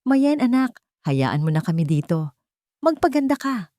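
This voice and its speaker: A woman's voice: fairly high pitch, big pitch swings, unhurried, mellow, dry recording, studio quality, pitched above the performer's own voice. A woman with a Filipino accent voicing a fond, encouraging older mother and grandmother in an audio love story. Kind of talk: storybook drama dialogue.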